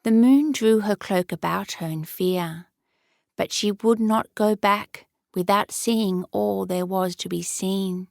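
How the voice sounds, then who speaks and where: A woman narrates a fairy tale in an unhurried, measured way. Her voice has a gravelly edge, and it is recorded dry and close. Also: mid-range voice, darkish tone, dry recording. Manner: measured, storytelling delivery. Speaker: audiobook narrator.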